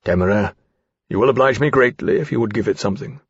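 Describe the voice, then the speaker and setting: A principled, courteous officer voiced by a man speaks in a low, measured voice. His tone is formal and firm, fit for a humane period storybook hero. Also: breathy edge, no echo, dull audio. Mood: placating, firm.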